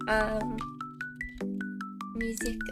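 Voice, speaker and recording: A woman's voice: fairly high pitch, monotone, extremely slow. A woman hosting a livestream, chatting casually in a cutesy manner. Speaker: livestream host, game streamer. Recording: background music under voice. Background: music.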